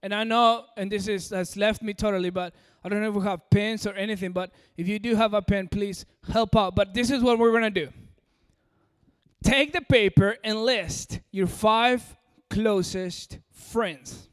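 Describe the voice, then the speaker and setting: A man's voice: high-pitched, fairly even intonation, normal speed, clear, resonant tone. A man from Peru with an American-sounding accent, preaching a sermon and coaxing the audience directly. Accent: American-sounding English. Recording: long hall reverb.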